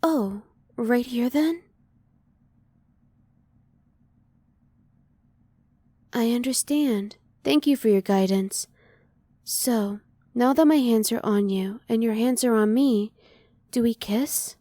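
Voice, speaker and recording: A woman playing a curious, emotionally reserved female alien in an ASMR roleplay, soft-spoken, with formal, measured and precise wording. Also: fairly high pitch, no room sound. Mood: curious, tentative.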